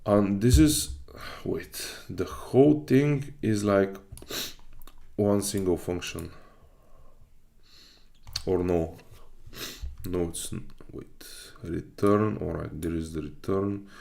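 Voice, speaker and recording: A man's voice: sits low, takes it slowly, creaky, pauses often, dark tone. A man from Bulgaria livestreaming his study session, casually reading aloud and hesitantly thinking through it as he goes. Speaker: live streamer learning web development.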